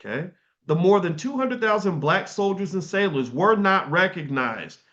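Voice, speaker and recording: A man's voice: sits high, expressive, normal speed, fairly bright tone. Man with a slightly raised voice reading history aloud. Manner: reads passages and breaks off to comment. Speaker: commentator reading from a history book. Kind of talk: casual commentary, reading aloud. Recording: dull, slightly lo-fi sound.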